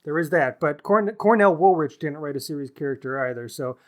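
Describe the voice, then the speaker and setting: A man's voice: on the high side, lively intonation, brisk. Male podcast co-host for informal book talk; thoughtful, literary, feeling his way through each point. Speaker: podcast co-host discussing books. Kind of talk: casual literary discussion.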